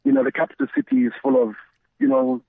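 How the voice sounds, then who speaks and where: A man's voice: very high voice, fairly even intonation, quick pace, vocal fry, a little hiss or hum. A middle-aged man from Zambia, telling his story conversationally as an interview guest over a remote call. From Zambia, the Copperbelt. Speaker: guest, radio DJ and station founder. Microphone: remote call connection. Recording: thin phone-like line.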